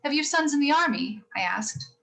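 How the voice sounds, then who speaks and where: A woman playing a gentle, earnest mother of four girls, telling stories in a measured, sincere way for a radio play rehearsal. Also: high-pitched, lively intonation. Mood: earnest, moved. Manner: measured, sincere moral storytelling.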